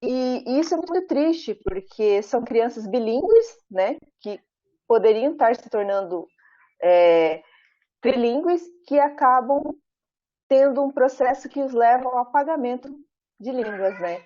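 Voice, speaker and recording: A Brazilian woman professor giving an academic talk over a video call, speaking in a measured, thoughtful way with frequent pauses and tag questions. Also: fairly high pitch, expressive, mellow. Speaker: professor presenting her research. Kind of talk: academic talk at an online book launch. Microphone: video call, camera off. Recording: muffled video call audio.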